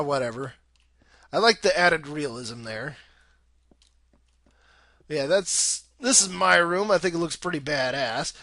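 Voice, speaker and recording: A man's voice: fairly high pitch, a bit bright, no echo. A young man giving casual, rambling gameplay commentary in an informal way, swearing freely. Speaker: video game let's play host.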